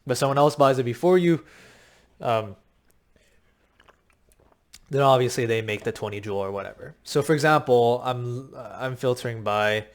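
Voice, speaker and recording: A man with a slightly raspy, worn voice, coaching viewers on a crypto game in a rambling, filler-heavy pitch, a little short of breath. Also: moderate pace, pauses often. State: short of breath from long talking. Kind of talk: casual talking-head explainer.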